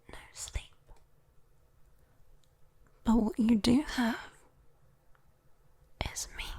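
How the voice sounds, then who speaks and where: A British woman voicing a sweet, teasing, possessive demon girl in a breathy, coaxing whispered ASMR roleplay. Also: a little high, a little mellow, full character voice. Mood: sly, possessive. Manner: breathy, teasing, coaxing, slow with lingering pauses. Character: sweet, teasing, possessive young female demon comforting a human.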